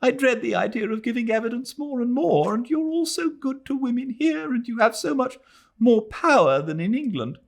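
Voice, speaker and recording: A man's voice: very high voice, expressive, moderate pace, creaky, pitched above the performer's own voice, heavily acted. A man voicing an earnest young Englishwoman in a novel, anxious and apologetic, pleading hesitantly.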